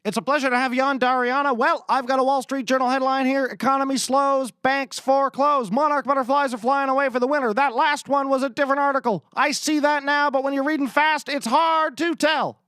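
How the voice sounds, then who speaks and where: A man's voice: very high-pitched, steady tone, brisk, few pauses, sharp tone, no room sound, studio quality. A man voicing an earnest, pedantic auctioneer co-host in a comedy parody of a talk show.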